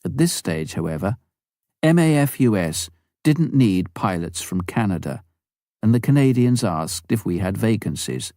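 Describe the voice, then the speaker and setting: A man reading a memoir aloud as an audiobook narrator, in a measured, clearly phrased scripted delivery. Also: lowish voice, very animated, mellow, no echo, clean studio sound.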